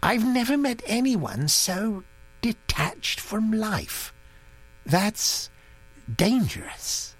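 A reader voicing a fussy, thoughtful elderly gentleman who speaks in a mild, earnest way, for an audiobook. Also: medium pitch, sing-song, extremely slow, slightly rough, voice pushed up, heavily acted. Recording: dry recording with mains hum.

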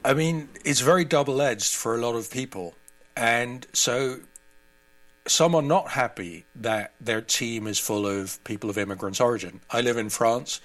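A man's voice: mid-range voice, unhurried, a bit bright. A man speaking as an interview guest, giving conversational analysis and commentary.

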